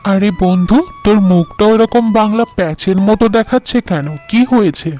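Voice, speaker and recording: A man's voice: high voice, moderate pace, a little mellow, soft background music, natural voice. A man voicing a cheerful, joking young farmer in a cartoon, chatty and teasing.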